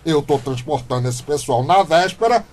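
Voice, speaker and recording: A man's voice: fairly high pitch, expressive, normal speed, a little mellow, a little hiss or hum, close to an everyday voice. A man playing a stubborn, scheming small-town candidate in a comic radio drama, with a Brazilian accent and exaggerated bluster. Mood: smug, scheming.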